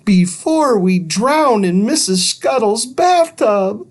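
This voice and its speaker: A man's voice: high-pitched, lively intonation, slow pace, pitched above the performer's own voice. A man voicing a young girl adventurer in a children's storybook, exasperated and exclaiming, with a dry wit. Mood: wry, urgent.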